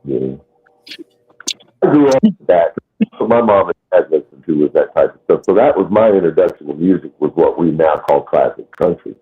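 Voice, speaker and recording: Husky low man on a tinny line. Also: lively intonation, moderate pace. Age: 40s. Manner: laid-back, swearing casually. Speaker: host. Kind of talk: casual chat.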